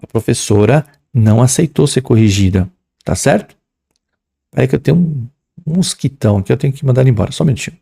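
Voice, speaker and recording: A young man with a São Paulo accent talks students through an English exam in a loose, slangy way. His voice is gravelly and mellow. He reads English phrases aloud, then translates and jokes about them. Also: medium pitch, animated, fast. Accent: São Paulo Portuguese. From São Paulo, Brazil. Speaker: English teacher. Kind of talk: exam-prep lesson.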